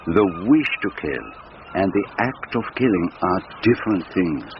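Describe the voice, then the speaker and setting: An actor playing a shrewd, fatherly, self-assured detective in a radio drama, speaking in a measured, courteous and theatrical way. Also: low voice, sing-song. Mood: gently reassuring. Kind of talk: radio drama acting, explanatory monologue. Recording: dull lo-fi radio sound, noisy.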